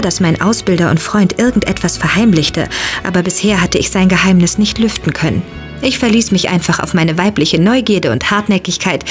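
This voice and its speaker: An actress playing a curious, stubborn young heroine in a scripted audio drama. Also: female voice, lowish voice, close to an everyday voice. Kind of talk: scripted first-person narration and audio drama acting.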